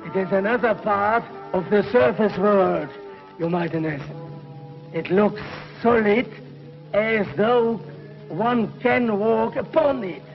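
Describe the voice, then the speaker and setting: High-voiced servile underworld henchman. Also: takes it slowly, creaky, full character voice. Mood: awed, servile. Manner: deferential, fawning. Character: servile subworld creature attending a tyrant. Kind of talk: 1950s television serial acting. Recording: muffled 1950s TV soundtrack with music.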